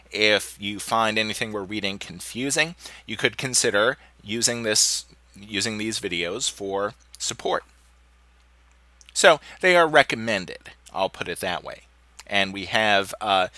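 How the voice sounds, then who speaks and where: A man in the middle of getting over a cold, his voice stuffy and a little hoarse, guides students through their online course page. He sits somewhat low in pitch but sounds bright and forward, and the recording is dry and close with no room around it. He talks loosely, pausing on "uh" as he clicks along. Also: no echo. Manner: relaxed, conversational, with frequent "uh" restarts. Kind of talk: screen-recorded course walkthrough. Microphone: computer mic, voice-over of screen capture.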